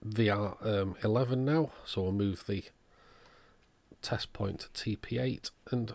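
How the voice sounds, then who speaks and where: A man's voice: on the low side, a little slow, gravelly, darkish tone, dry recording. A man narrating a hands-on repair demonstration in a methodical, step-by-step way, as the host of an electronics channel.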